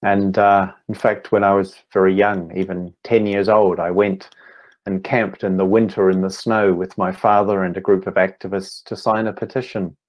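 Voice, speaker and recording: A man in his fifties with a New Zealand accent, giving an online talk as a meditation teacher, speaking slowly and deliberately with gentle pauses. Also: low voice, flat delivery. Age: 50s. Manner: slow, deliberate, gently pausing. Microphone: video call.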